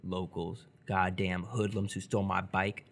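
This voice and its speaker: A man playing a nervous, incredulous 17-year-old boy in live radio theatre, hesitant and apologetic with sardonic outbursts, in a husky voice. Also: sits low, flat delivery, unhurried. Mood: irritated.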